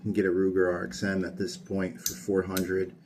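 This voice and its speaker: A tired man casually talking through a hands-on workshop build, monotone and rambling with plenty of fillers. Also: sits low, a little fast, noisy background. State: tired late at night. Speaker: hobbyist gunsmith. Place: home workshop.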